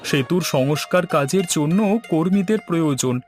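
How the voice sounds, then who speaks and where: A man's voice: fairly high pitch, normal speed, faint music behind, close to an everyday voice. A man playing an earnest village leader in a cartoon, speaking in formal, rallying speeches. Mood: urging. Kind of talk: scripted cartoon dialogue.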